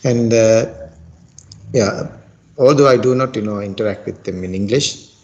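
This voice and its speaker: A man's voice: lowish voice, measured pace, a little mellow, lo-fi sound. A middle-aged man from Sri Lanka with a Sri Lankan accent and Sinhala as his first language, practising English in a casual chat, full of fillers and restarts. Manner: hesitant, many fillers and restarts. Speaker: English learner.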